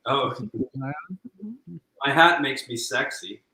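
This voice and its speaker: Dry sarcastic male guest, sharp bright voice, roomy sound. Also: medium pitch, takes it slowly. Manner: dry, sarcastic, reads out quotes. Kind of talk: casual chat.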